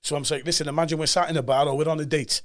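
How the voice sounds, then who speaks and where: A man in his forties with a Cardiff Welsh accent, talking as a guest on a podcast and telling stories with emphatic energy. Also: fairly high pitch. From Cardiff, Wales. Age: 40s. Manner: animated storyteller, fast and emphatic, acts out quoted voices. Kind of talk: casual podcast chat.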